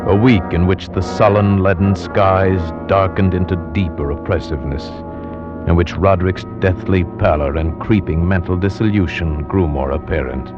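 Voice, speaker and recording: A male actor as a grave gentleman telling a gothic horror tale on old-time radio. The voice is very low, the manner formal and ominous. Music plays prominently on a dull vintage recording. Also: normal speed, close to an everyday voice. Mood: brooding, ominous. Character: solemn gentleman narrator, a concerned old friend. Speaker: narrator. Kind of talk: 1940s radio horror narration and acting. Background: dramatic musical score.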